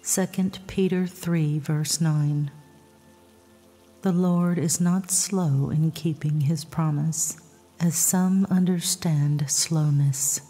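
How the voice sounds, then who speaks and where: Slow, breathy woman guiding sleep meditation. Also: sits low, fairly even intonation. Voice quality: soft, breathy, husky. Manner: soothing, measured, hushed. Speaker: meditation guide. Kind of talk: scripted guided sleep meditation narration with Bible readings. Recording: long reverb, soft music behind.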